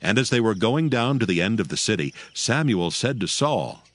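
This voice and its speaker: Lowish, animated male Bible narrator. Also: moderate pace, dry recording. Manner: formal storytelling delivery. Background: background music.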